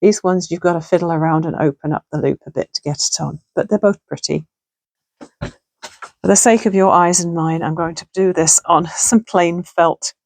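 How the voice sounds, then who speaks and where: A middle-aged woman with a gravelly, hoarse voice, chatting casually and thinking aloud as she makes something at home. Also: on the low side, moderate pace, darkish tone, dry recording. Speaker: crafting channel host. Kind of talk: casual crafting tutorial chat. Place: home sewing room.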